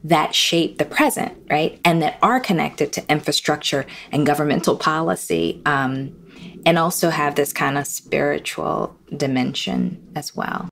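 A woman's voice: low voice, a little slow, vocal fry, fairly bright tone. A woman professor giving a thoughtful, unscripted explanation as a guest expert.

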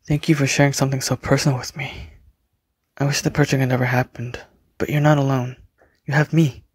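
A man plays an earnest young man in an audio drama, a mortician with a dark gift. His voice is husky and dry, and his manner is pleading and sincere. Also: medium pitch, flat delivery, fairly quick, no echo, close to an everyday voice. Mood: gentle, sorry.

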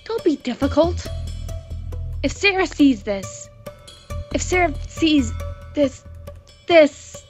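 A flustered, anxious young man from a visual novel, read by a woman, pleading urgently in a high, raised voice. Music plays faintly behind. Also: expressive, slow pace, long pauses. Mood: exasperated, pleading.

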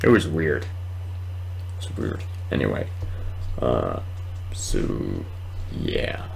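A man in his twenties giving casual, rambling let's play commentary at home, halting at times. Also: very deep voice, very animated, vocal fry, a little mellow, a little hiss or hum. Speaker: gaming video host. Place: small room at home, indoors.

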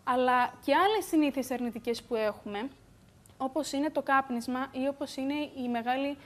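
Young woman beauty expert on a tv set, pretty high voice, steady explaining with little uhs. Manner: measured, explanatory, with fillers. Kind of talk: explanatory TV studio talk. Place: bright TV studio. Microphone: lapel mic.

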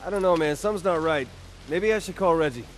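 A man's voice: a little high, quick pace, a little hiss or hum, lightly acted. A man playing a brash, hot-headed young superpowered hero in a video game, informal and cocky. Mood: wary. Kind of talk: cinematic game dialogue. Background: dramatic music.

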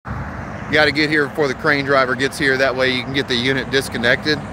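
A man's voice: medium pitch, flat delivery, a little fast. A man in his thirties, an HVAC technician, talking casually to his phone camera on a commercial rooftop and explaining the job step by step. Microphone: phone held at arm's length. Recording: outdoor phone audio with traffic noise.

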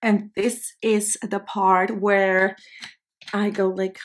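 A woman's voice: medium pitch, flat delivery, slow, faint music behind. Dutch woman chatting through her makeup routine. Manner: chatty, self-deprecating, talks while applying makeup. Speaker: beauty vlogger. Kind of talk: casual makeup tutorial chat.

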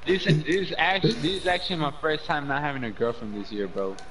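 A man's voice: on the high side, fast talker. A young man chatting with friends while gaming, brash and teasing, swearing freely. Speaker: gaming teammate. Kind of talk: casual gaming chat. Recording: noisy voice chat.

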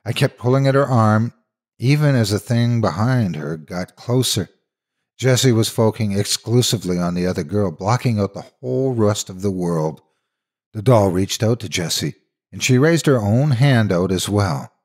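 Male storyteller, low-pitched, husky, dramatic horror narration, suspenseful, measured. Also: animated, a little mellow.

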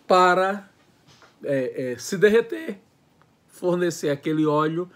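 A man in his sixties from Brazil, giving a reminiscing lecture from his home study, talking with lively hand gestures. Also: on the high side. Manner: lively, gestures with hands, listing words in quick runs. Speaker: educator. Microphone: earphone mic, webcam framing.

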